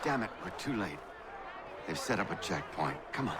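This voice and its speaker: An actor playing a courteous, dryly wry colonial revolutionary gentleman with measured, formal phrasing in a video game. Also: low-pitched, big pitch swings, crowd noise, background noise. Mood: composed, dry. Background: music.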